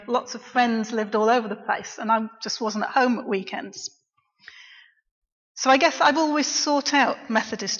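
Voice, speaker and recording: A middle-aged woman, a local preacher, giving a quiet, reflective personal testimony in church. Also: on the high side. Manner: soft-spoken, reflective. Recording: dull, echoey church recording.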